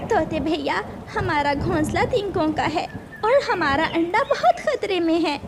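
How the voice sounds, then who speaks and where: A woman's voice: very high-pitched, expressive, measured pace, noisy background, exaggerated voice. A woman voicing an anxious, scolding mother bird in a cartoon, plaintive and reproachful. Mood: worried. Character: anxious, scolding mother sparrow grieving her lost egg. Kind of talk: Urdu cartoon voice acting.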